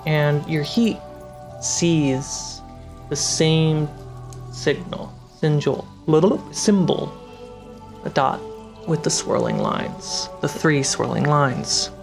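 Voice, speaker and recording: A game master narrating a tabletop fantasy game in halting, descriptive phrases. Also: medium pitch, sing-song, vocal fry. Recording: music and rain sounds behind. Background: music, rain effects.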